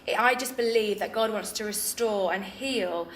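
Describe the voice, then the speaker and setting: A woman's voice: mid-range voice, normal speed, a little hiss or hum. A young woman from England with a Midlands accent, earnestly reflecting in an interview with frequent ums. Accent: English Midlands. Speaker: founder of a drama ministry. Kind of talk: interview testimony. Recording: roomy echo.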